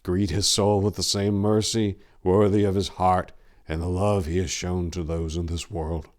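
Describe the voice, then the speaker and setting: A man voicing a fierce, grieving eldest brother, a warrior monk in a dark fantasy story, anguished and solemn. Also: deep voice, level tone, normal speed, close to an everyday voice. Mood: grieving, grim.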